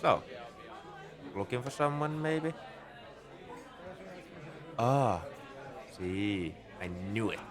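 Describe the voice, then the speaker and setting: A man's voice: mid-range voice, lively intonation, drawn-out words, long pauses. A man playing a shrewd, easygoing bartender at a space outpost in a conversational, knowing ASMR roleplay monologue. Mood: knowing, bargaining. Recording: crowd chatter behind the voice. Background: bar crowd murmur.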